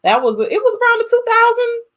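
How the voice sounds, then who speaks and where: An American woman co-hosting a casual movie podcast, telling stories in an animated, freely swearing way. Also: very high voice, a little fast. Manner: animated storytelling with frequent profanity. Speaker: podcast co-host.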